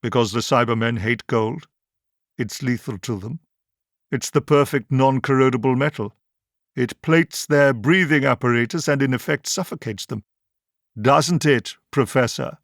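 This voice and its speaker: A man's voice: medium pitch, no echo, clean studio sound, lightly acted. Man playing a solemn, probing doctor hero. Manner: deliberate, emphatic, accusing.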